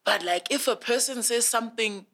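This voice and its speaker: Brief: South African woman in her twenties, a little high-pitched, earnest casual guest, animated storyteller. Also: normal speed. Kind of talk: casual conversational interview.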